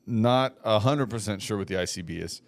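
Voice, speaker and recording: A young man co-hosting a casual podcast, giving matter-of-fact advice with quiet deadpan jokes. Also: fairly low pitch, a little fast, vocal fry, dry recording. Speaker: podcast co-host, mortgage lender. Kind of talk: casual chat.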